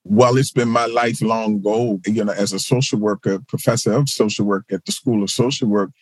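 Measured middle-aged man, low dry voice. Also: fairly even intonation, husky, dry recording. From Baltimore. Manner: reflective, measured, with fillers and restarts. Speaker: pastor and social work professor. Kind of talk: interview guest.

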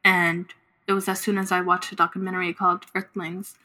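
A woman's voice: lowish voice, level tone, a little fast, bright, forceful tone. A woman in her late twenties chatting casually as a guest on a podcast. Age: late 20s. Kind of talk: casual chat.

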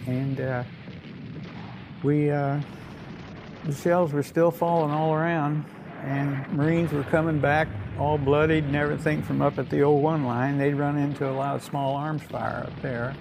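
A man's voice: on the high side, level tone, unhurried, gravelly, noisy background. An elderly man, a war veteran, telling his memories in plain, rambling, unscripted oral history. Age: 80s or older. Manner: rambling, plain-spoken storytelling.

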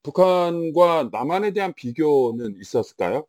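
A man's voice: a little high, lively intonation, moderate pace, a little mellow, dry recording, studio quality. A man hosting a news interview, posing questions in a polite, formal style. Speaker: news show host.

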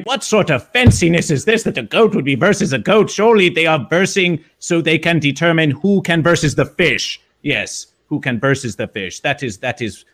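A man playing an eccentric, celebratory mascot persona who talks in stilted, repetitive phrases and speaks of himself in the third person. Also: a little high. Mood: excited, celebratory. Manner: stilted foreign-style phrasing, repeats phrases, exclaims yes. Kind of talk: in-character improvised interview.

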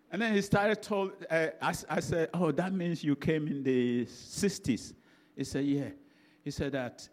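Older Ghanaian preacher, chatty anecdotal sermon, mid-pitched voice. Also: male voice, darkish tone. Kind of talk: sermon storytelling.

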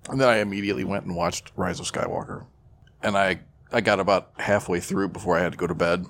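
Male podcast co-host, lowish voice with heavy fry, laid-back, slangy, sweary chat. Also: steady tone, fast, a bit bright, slight background noise. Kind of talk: casual pop-culture chat.

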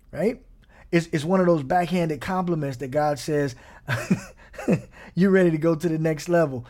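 Guy preaching a sermon, kinda high voice, chatty, keeps asking the crowd right? and drops to a whisper sometimes. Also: darkish tone. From Gary, Indiana. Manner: conversational preaching with frequent "right?" checks.

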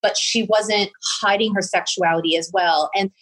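A woman's voice: medium pitch, fairly even intonation, moderate pace, fairly bright tone, breathy edge, low-quality recording. A middle-aged woman interviewing a guest, speaking reflectively with frequent restarts. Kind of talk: conversational interview.

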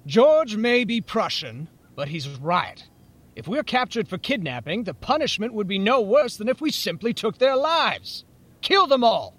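An actor playing a bloodthirsty, menacing soldier conspirator, intense and dramatic, in a historical audio drama. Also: male voice, high-pitched, big pitch swings, measured pace, fairly bright tone, full character voice. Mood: ruthless.

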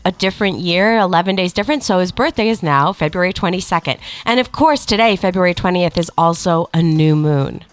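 Casual woman host, a bit nasal and stuffy, lower register, rambling trivia chatter. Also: a little fast, hardly any pauses, clean tone, soft background music. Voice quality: slightly nasal, congested. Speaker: podcast host. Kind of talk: casual comedic chat.

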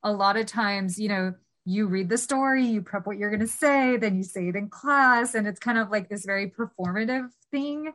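A woman's voice: medium pitch, normal speed, hardly any pauses, fairly bright tone. Woman host, conversational interviewer, chatty and filler-heavy. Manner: chatty, many fillers like "you know".

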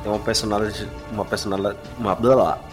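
A Brazilian man in a casual podcast chat, halting as he goes and circling back to rephrase himself. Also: fairly low pitch, little pitch movement, over music. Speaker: podcast co-host.

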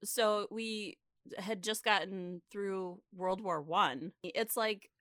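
A woman's voice: mid-range voice, slow pace, a bit bright, no room sound, studio quality. A woman co-hosting a podcast, chatting casually and reflectively, leaning on her words for emphasis. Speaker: podcast co-host.

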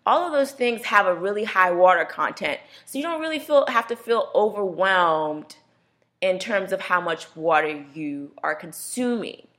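A woman hosts a radio health show in a loose, chatty way. Her pitch sits in an ordinary middle range. She often laughs and plays out what other people say. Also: lively intonation, normal speed, a bit bright. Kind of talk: casual radio talk.

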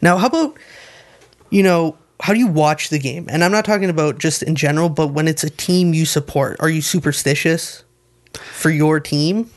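Canadian man, gravelly fry, slightly high pitch, casual podcast co-host. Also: moderate pace. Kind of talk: casual group chat about soccer.

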